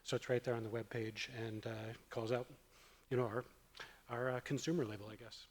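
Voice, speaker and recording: A man giving a city report at a council meeting, speaking haltingly with many fillers and restarts. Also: medium pitch, little pitch movement, big-room sound. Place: council chamber.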